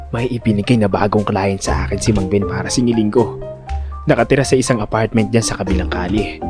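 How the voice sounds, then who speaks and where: A man narrating a first-person audio drama in an expressive, suspenseful, scripted storytelling style. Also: mid-range voice.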